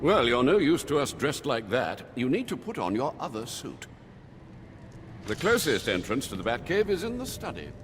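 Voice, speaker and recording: An actor playing an elderly butler in a video game, formal and polite with dry, unflappable wit. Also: male voice, mid-range voice, sing-song, moderate pace, a bit bright, a little hiss or hum. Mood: defiant, dry. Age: older. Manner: formal, polite, dryly sardonic. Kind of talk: video game cutscene acting.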